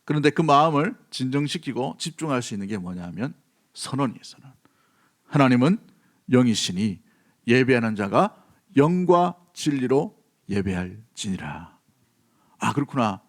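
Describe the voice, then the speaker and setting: A man's voice: medium pitch, lively intonation, normal speed, long pauses. A man from South Korea preaching a sermon as a pastor, emphatic, repeating key phrases and putting questions to his congregation.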